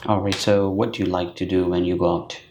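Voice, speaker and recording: A man acting as a speaking-test examiner. He asks slow, hesitant questions full of fillers and keeps humming along as the candidate answers. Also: low-pitched, flat delivery, darkish tone, slight background noise. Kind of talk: mock exam questioning. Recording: echoey room.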